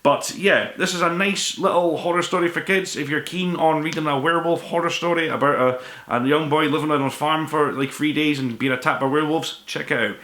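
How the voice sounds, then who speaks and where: Fast-talking man, bright voice, echoey room. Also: fairly high pitch, fairly even intonation. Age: 20s to 30s. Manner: rambling, self-deprecating, informal. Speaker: book review show host. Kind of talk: casual book review to camera.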